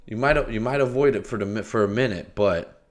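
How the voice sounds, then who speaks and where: A man's voice: lowish voice, brisk. A man streaming casual commentary, joking and rambling, often trailing off, restarting and scatting nonsense syllables. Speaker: streamer reacting to a video.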